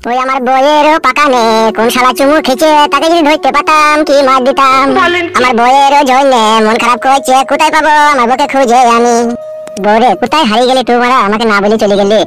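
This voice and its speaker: High-voiced, whiny abandoned husband played by a man. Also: steady tone, quick pace. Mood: heartbroken. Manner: lamenting, self-pitying. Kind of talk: comedy cartoon dub.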